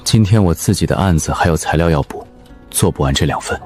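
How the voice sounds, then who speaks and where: A man playing a principled, calm young lawyer in an animated drama, measured and plain-spoken, firm but considerate. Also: low voice, soft background music, lightly acted.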